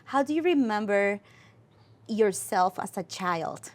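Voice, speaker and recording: A woman hosting an interview, asking questions in simple, sometimes halting phrasing. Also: mid-range voice, lively intonation. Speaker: host.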